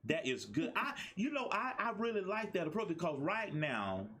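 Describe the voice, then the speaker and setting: Talk show host guy, kinda husky, swings his pitch a lot, keeps saying wow and right. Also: very animated, normal speed, a bit bright. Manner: encouraging, frequent affirmations.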